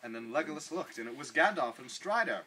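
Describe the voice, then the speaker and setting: A woman's voice: very deep voice, expressive, fast talker, fairly bright tone, a little hiss or hum. A woman giving an over-dramatic comic reading of bad fan fiction, with exaggerated emphasis that often verges on laughter.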